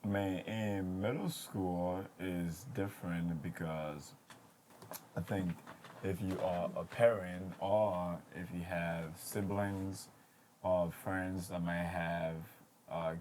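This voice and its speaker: A man's voice: sits low, darkish tone, a little hiss or hum. A man from Liberia who grew up in Ivory Coast, a podcast guest who earnestly advises parents. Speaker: podcast guest, former student turned teacher. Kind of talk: casual podcast conversation.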